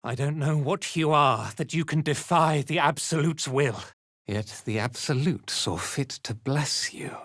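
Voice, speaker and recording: A man playing a haughty, zealous dark elf cult commander in a video game, with an imperious, contemptuous and theatrical delivery. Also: fairly high pitch, measured pace, dry recording, clean studio sound. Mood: haughty, devout. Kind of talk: scripted video game character acting.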